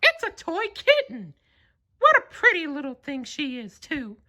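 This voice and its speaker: Storybook cartoon mouse, male female performer: piercingly high falsetto, gushing, childlike, very animated. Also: a little slow, exaggerated voice. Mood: delighted. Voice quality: squeaky falsetto. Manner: gushing, exclaiming.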